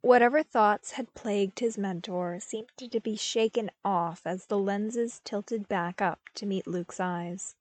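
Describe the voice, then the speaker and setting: Female audiobook narrator: mid-range voice, expressive prose reading, recorded close and free of echo. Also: medium pitch, measured pace, hardly any pauses. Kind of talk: expressive scripted story narration.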